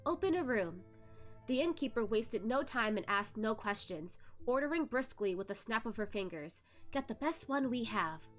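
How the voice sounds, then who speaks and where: A woman reading a novel aloud as expressive narration, occasionally tripping over a word and correcting herself. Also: mid-range voice. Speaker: host of a read-along reading a web novel aloud. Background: music behind the voice.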